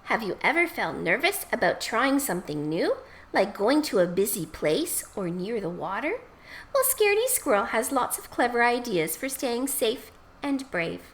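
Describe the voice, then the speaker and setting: A woman reading aloud to young children in an expressive, emphatic storytelling style. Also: a little high, moderate pace, husky. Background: children's music.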